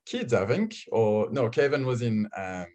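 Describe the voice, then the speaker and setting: A man's voice: medium pitch, big pitch swings, measured pace, mellow. A young man with a French accent hosts an online student panel from his own video call. He chats loosely and pokes fun at himself, with plenty of ums between his phrases. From France. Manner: chatty, self-joking, filler-heavy. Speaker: host. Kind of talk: casual online panel hosting.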